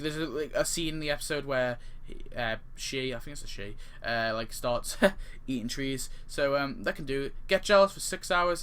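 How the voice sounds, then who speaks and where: A man's voice: mid-range voice, pushed and bright, smooth. A man giving casual, off-the-cuff gaming commentary in a rambling way, often correcting himself mid-sentence. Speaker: gaming video creator. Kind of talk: casual off-the-cuff commentary on game update ideas.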